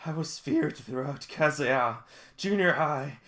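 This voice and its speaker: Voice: medium pitch, expressive, slow, fairly bright tone. Someone voicing a fierce, taunting teenage girl. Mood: boastful. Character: fierce, teasing teenage girl, boastful and jealous.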